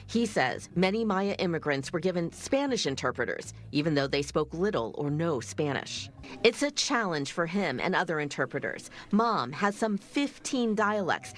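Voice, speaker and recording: A woman reporter reading a scripted news story in a measured, storytelling way. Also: mid-range voice.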